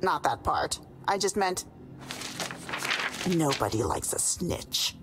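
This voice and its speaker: An actress playing a stern, prissy and menacing female librarian in an animated video game, prim, haughty and condescending. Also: medium pitch. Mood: prim disdain.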